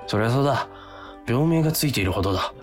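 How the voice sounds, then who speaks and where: A man's voice: mid-range voice, expressive, fast, natural voice. An actor playing a self-pitying, melodramatic young office worker in a comic radio drama, narrating his inner thoughts in an overwrought way. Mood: relieved, resolute. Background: background music.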